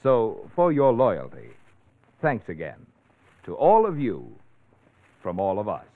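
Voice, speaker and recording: A man's voice: fairly low pitch, huge pitch swings, slow. A man speaking in polished, emphatic 1940s radio announcing style, delivering a sponsor message. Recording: tinny old radio, narrow band.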